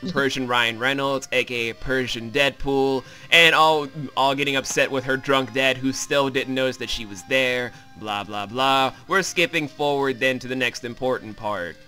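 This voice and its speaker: A man's voice: mid-range voice, fairly even intonation, moderate pace, hardly any pauses, sharp tone. A man hosting a joking, crude and irreverent recap of a video game. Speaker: host, self-described comedic voice actor. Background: game music.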